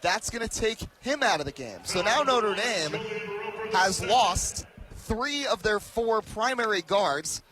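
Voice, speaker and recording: A man in his early twenties doing live radio basketball play-by-play, with an emphatic, excited delivery that rises to shouts. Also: high-pitched, slow, low-quality recording. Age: early 20s. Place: courtside in a large arena.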